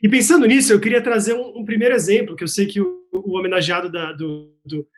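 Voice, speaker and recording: A man's voice: high voice, steady tone, fast talker. A man with a Bahian Brazilian accent speaking earnestly on an online panel, hesitating with fillers, over a video call. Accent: Bahian Brazilian Portuguese. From Salvador, Bahia, Brazil. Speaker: researcher, panelist. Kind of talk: casual online panel talk.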